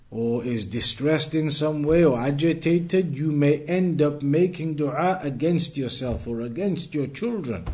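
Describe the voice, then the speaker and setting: A man teaching an Islamic lesson, reading out text and explaining it deliberately, with emphatic repetition and rephrasing. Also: a little high, dark tone. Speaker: religious teacher.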